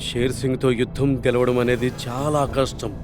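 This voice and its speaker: A man voicing a stern but protective animal king in an animated story, speaking earnestly. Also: medium pitch, fairly quick, music in the background, natural voice. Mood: worried.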